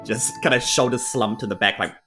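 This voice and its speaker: A man's voice: fairly low pitch, brisk, over music. A man playing a tabletop game, describing his character in casual, conversational talk.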